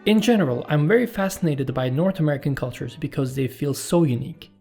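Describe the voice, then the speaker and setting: A Turkish man, a native Turkish speaker, hosting his channel and reading out viewer questions and his answers in a calm, measured voice-over. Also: fairly high pitch, gravelly, faint music behind. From Turkey. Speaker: YouTube channel host. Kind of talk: scripted Q&A voice-over.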